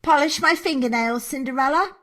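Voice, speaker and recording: A woman's voice: high-pitched, brisk, bright, forceful tone. A woman voicing a cruel, vain young stepsister in a children's fairy tale, haughty and sneering. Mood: bossy.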